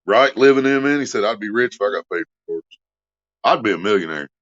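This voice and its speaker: Man hosting a livestream, low voice, crude jokey chatter, muffled lo-fi sound. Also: expressive, fast talker, fairly bright tone. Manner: crude joking, reads donations aloud. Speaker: livestream host. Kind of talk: casual livestream chat.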